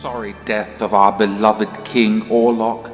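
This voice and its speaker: Actor as slow, deep, pompous royal proclaimer. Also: male voice, level tone, faint music behind, lightly acted. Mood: solemn, vengeful. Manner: pompous, measured. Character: solemn, self-righteous male royal usurper making proclamation. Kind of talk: formal broadcast speech in audio drama. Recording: dull, echoing hall broadcast.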